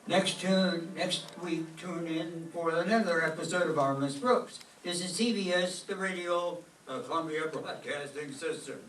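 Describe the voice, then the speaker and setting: Genial male radio announcer, acted by a man, old-time presenting style, raised pitch, distant mic. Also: a little slow, hardly any pauses, fairly bright tone. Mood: presentational. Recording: echoey stage, distant mic, faint noise.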